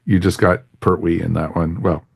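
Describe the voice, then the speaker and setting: A man's voice: low voice, little pitch movement, moderate pace, creaky, breathy edge, no room sound, studio quality. A man hosting a casual fan review podcast, speaking in an enthusiastic, agreeable way. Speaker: podcast host.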